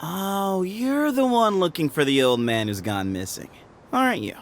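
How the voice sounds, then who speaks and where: A man's voice: sits high, sing-song, normal speed, slight background noise. An actor playing a sly, teasing man who leads a hidden band in the desert, in a video game cutscene, with a playful, mocking manner. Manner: playful, mocking, sing-song.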